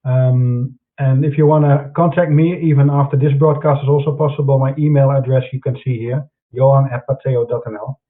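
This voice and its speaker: A man's voice: medium pitch, steady tone, brisk, few pauses. A man with a Dutch accent presenting his websites and books in an online broadcast, speaking casually with many fillers and restarts. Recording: phone-like narrow sound.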